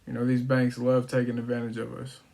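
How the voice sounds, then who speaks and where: Even-toned man making casual sales calls. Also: medium pitch, fairly quick, vocal fry, low-quality recording. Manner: informal, explanatory. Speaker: real estate wholesaler making cold calls.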